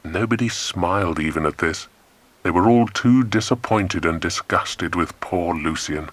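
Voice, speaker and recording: A man narrating a scripted children's adventure story in an expressive, dramatic way. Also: low voice, a little slow, no echo.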